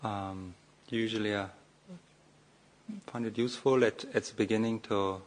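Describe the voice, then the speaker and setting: A man giving a guided meditation as a monk, speaking off the cuff in short, measured phrases with long, hesitant pauses. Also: on the low side, fairly even intonation, low-quality recording.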